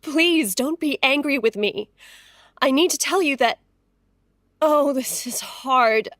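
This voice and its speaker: An actress playing a timid, polite young former beauty queen, halting and deferential, in a period audio drama. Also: high voice, fairly bright tone, dry recording, clean studio sound. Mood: nervous, hesitant.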